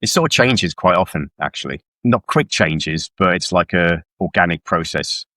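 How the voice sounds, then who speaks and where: Middle-aged male author from South Wales, notably low register, informal interview guest, very little room sound. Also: expressive, moderate pace, sharp tone, no room sound, clean studio sound. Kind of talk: casual conversational interview. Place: indoors at home on a video call.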